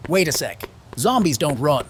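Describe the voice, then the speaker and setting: A man playing an excitable, easily puzzled soldier in a dramatized audio comic. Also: on the high side, normal speed, slight background noise. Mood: baffled.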